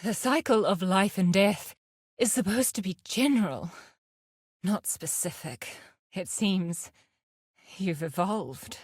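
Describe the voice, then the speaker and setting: A woman playing a fierce, guarded huntress in a video game, speaking in a deliberate, terse and solemn way. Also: medium pitch, breathy edge, no room sound, studio quality. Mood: grim, proud. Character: fierce, guarded huntress outlaw, wry nature lover. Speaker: video game character voice actor. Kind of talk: scripted game character lines.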